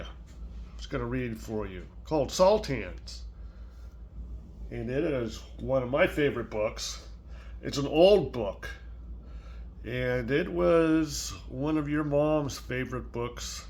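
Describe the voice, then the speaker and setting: An older man talking gently and simply, as a grandparent reading a picture book aloud to a young child. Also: mid-range voice. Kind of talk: casual read-aloud storytelling for a child.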